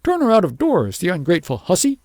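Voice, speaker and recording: A man's voice: high-pitched, huge pitch swings, fast talker, darkish tone, dry recording, pitched above the performer's own voice, exaggerated voice. A man voicing a short-tempered, scornful shepherdess in a storybook, brusque, bossy and indignant. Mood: outraged. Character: scolding, sharp-tongued shepherdess wife, short-tempered and scornful. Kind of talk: storybook character voice in audiobook.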